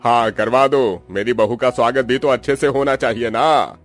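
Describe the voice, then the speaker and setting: A man voicing a kindly but firm middle-aged father-in-law in an animated family drama. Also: medium pitch, normal speed, faint music behind, lightly acted. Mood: warm, instructing.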